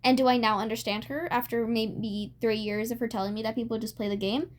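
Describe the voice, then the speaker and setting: A young woman of about twenty with a fairly high voice, chatting casually as a podcast host. She rambles in a giggly way and leans on "like" as she works through her thoughts. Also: fairly even intonation, a little fast. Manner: giggly, rambling, heavy use of "like". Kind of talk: casual chat.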